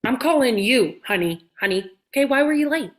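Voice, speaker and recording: A woman playing an imperious, sharp-tongued older comedy star, snarky and commanding, in a comedy table read. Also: a little high, expressive, a little fast, lightly acted. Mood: teasing, testing.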